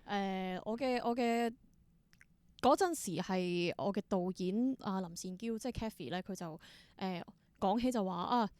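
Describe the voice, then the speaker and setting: A woman's voice: mid-range voice, unhurried, a little mellow, clear, resonant tone, no echo. A woman with a Hong Kong Cantonese accent speaking as an interview guest, telling stories casually and acting out bits of dialogue. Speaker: interview guest, theatre performer and creator. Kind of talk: casual conversational storytelling.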